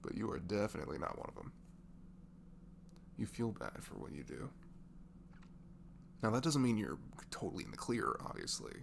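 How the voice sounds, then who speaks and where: A young man softly leaving an intimate, improvised comfort message in ASMR style, tender and reassuring, murmuring and often slipping into a breathy whisper. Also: fairly low pitch, sing-song, normal speed, pauses often. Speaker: amateur audio performer playing a comforting friend. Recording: clearly noisy home recording with hum.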